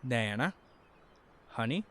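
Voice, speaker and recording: A man's voice: mid-range voice, expressive, slow, bright, forceful tone. An actor playing an earnest, shy young man in an audio drama, with a hesitant way of talking. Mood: worried, urgent. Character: John, devoted young husband, also shy teenage student.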